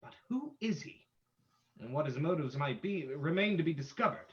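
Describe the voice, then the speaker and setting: A man playing a bold, confident gentleman detective in an old-time radio drama, theatrical and commanding. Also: on the high side, animated, moderate pace, muffled recording, a crowd in the background, lightly acted. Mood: brisk, curious.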